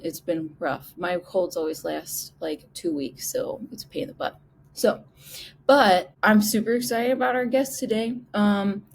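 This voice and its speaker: A woman's voice: on the low side, darkish tone, slight background noise. A woman hosting a podcast, talking in a chatty, rambling way and sounding nasal from a cold. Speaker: podcast host. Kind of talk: casual podcast interview.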